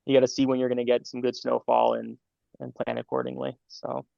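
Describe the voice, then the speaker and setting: Guest: young man, medical student, gravelly and monotone, chatty and halting, dull dry recording. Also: mid-range voice, dry recording. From Los Angeles, California. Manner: friendly, halting with fillers and repeats. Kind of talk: casual interview answers.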